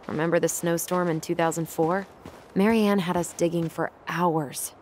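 A woman's voice: lowish voice, fairly even intonation, normal speed, darkish tone, slight background noise, lightly acted. An actress playing a dry, guarded, teasing young American woman in naturalistic video game dialogue. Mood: reminiscing. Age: young adult.

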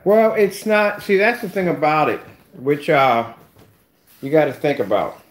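A man's voice: fairly high pitch, a little slow. Rambling man in his fifties. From Philadelphia. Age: 50s. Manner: rambling, repeats himself, talks straight to viewers. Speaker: screen paint vlogger. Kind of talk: casual chat to viewers while livestreaming. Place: home theater room indoors.

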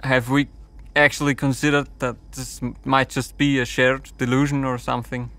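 A man's voice: medium pitch, level tone, moderate pace, a bit bright, no echo, close to an everyday voice. A man acting a reasonable, doubting crew member in an audio drama, questioning and reasoning aloud.

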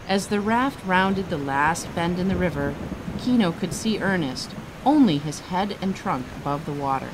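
Animated low-voiced woman reading a storybook. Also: noisy background. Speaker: author reading her children's book. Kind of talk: expressive children's storybook narration.

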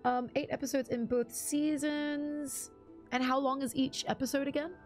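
A woman's voice: high voice, steady tone, normal speed, a little mellow, soft background music. A young woman streaming a game, chatting casually, greeting viewers and reading chat aloud with many ums. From half English, half Bruneian. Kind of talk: casual live-stream chat while gaming.

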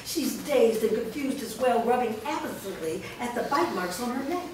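A woman's voice: fairly high pitch, lively intonation, normal speed. A woman reads stage directions aloud as scripted narration. She sits far from the mic in a very echoey room. There is faint noise in the recording. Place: bare studio stage room, indoors. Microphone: far camera.

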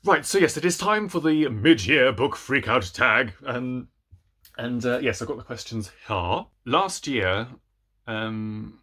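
A man's voice: mid-range voice, lively intonation, slow pace, a bit bright. A young adult man chatting casually to camera as a book reviewer, in a hesitant, self-deprecating way. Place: small room at home, indoors.

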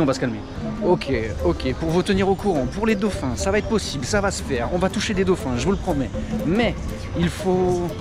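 Expressive Frenchman vlogging over loud music. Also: a little high. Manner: slangy, expressive, animated storytelling. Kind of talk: casual vlog chat to camera. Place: large glass-roofed indoor park with palms. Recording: music behind the voice. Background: loud background music.

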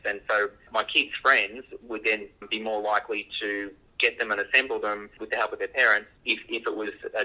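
Sharp, radio-like man explaining. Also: lowish voice, low-quality recording. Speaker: engineer being interviewed. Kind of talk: unscripted interview explanation.

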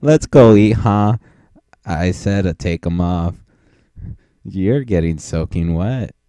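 A man's voice: deep voice, takes it slowly, warm tone, no room sound, clean studio sound. A man doing a goofy, improvised parody dub as an amateur voice performer, with crude joking and silly repeated nonsense. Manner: silly, repetitive nonsense ad-libbing.

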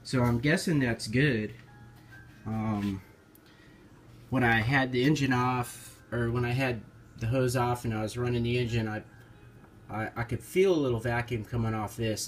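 A man giving a casual, hands-on repair walkthrough in an informal, halting, hesitant way, recorded on a handheld camera. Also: medium pitch, fairly even intonation, soft background music. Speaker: amateur car repair video maker. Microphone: handheld camera held over the engine. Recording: noticeable background noise, amateur handheld camera.

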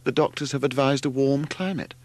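A man's voice: medium pitch, a little fast, a little mellow, voice pushed up, natural voice. A man voicing a flustered middle-aged English mother in an audiobook, hesitant and fussing. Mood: anxious, flustered.

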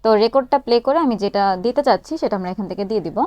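A woman presenting a casual step-by-step app tutorial, explaining each action haltingly as she does it. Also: on the high side, a little mellow.

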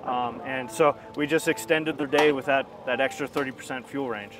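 A man's voice: mid-range voice, steady tone, normal speed, music in the background, low-quality recording. A man in his thirties, a company representative explaining a product informally in an outdoor interview on a clip-on mic. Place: outdoors at a busy expo ground. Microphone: wireless clip-on mic.

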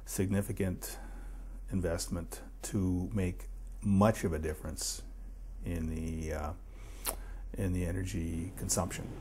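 A man's voice: low voice, steady tone, slow, long pauses, warm tone, breathy edge. A middle-aged Canadian man, a home energy advisor, explaining repairs in a house basement in a practical, unpolished way with some hesitations. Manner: practical, hesitant with fillers, pointing things out. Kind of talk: on-site explanation.

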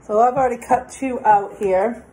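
A middle-aged woman giving children informal step-by-step craft instructions, plainly, pausing as she demonstrates. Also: fairly high pitch, fairly even intonation, dark tone, a little hiss or hum, poor audio. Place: indoors, dining table in a home.

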